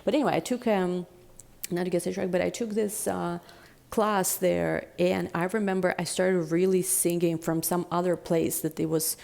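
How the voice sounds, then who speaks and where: A woman giving a casual tarot reading in a deep, chesty voice, rambling and reflective with many fillers. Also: steady tone, normal speed, gravelly, a little mellow. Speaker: tarot reader.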